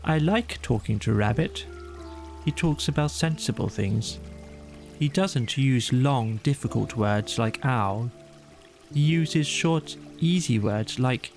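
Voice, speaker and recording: A man voicing a gentle, slow-witted male teddy bear who muses aloud and sings little songs. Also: mid-range voice, expressive, faint music behind. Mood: musing. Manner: dreamy, musing, sing-song.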